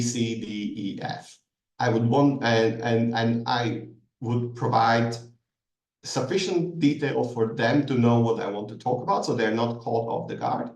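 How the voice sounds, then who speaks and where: A man answering questions as a guest expert in a masterclass, thoughtful and hesitant, with many ums and restarts, heard over a video call. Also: mid-range voice. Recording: echoey room, distant mic.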